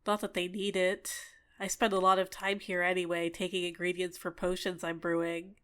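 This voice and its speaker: A woman voicing a bubbly, clingy fairy with a sweetly menacing edge in an ASMR roleplay, talking in an excitable, coy, chatty way. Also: on the low side, normal speed, clear, resonant tone, close to an everyday voice. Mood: content, curious. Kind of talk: ASMR roleplay, scripted character acting.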